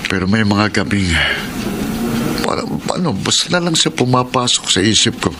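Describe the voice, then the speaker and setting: A man's voice: fairly low pitch, animated, moderate pace, very noisy. A man acting a troubled, guilt-ridden husband in a Filipino radio drama, brooding and confessional, with a Filipino accent. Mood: confused, confessing. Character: troubled, guilt-ridden man torn between two women. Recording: music behind the voice.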